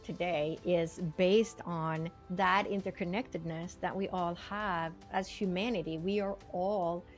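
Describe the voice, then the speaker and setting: A woman's voice: fairly low pitch, fairly even intonation, unhurried, hardly any pauses, faint music behind. An older woman from Sweden, a guest telling her story in a vivid, earnest way with many fillers. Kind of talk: storytelling interview talk.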